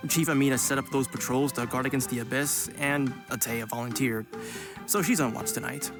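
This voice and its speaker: A man's voice: medium pitch, steady tone, brisk, over music, natural voice. A man voicing an easygoing young villager in a video game, with a casual way of talking. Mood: apologetic. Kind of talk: game voice acting.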